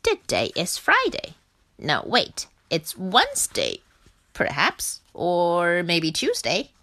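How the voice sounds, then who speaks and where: A woman voicing a muddled female duck in a storybook who talks slowly and vaguely. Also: on the low side, wildly swooping pitch, sharp tone, full character voice. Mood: dithering. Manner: slow and vague.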